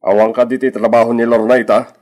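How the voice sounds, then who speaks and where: A man's voice: medium pitch, level tone, quick pace, a little mellow, lightly acted. An actor playing a stern, concerned father in an Ilocano radio drama. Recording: old radio recording, faint music.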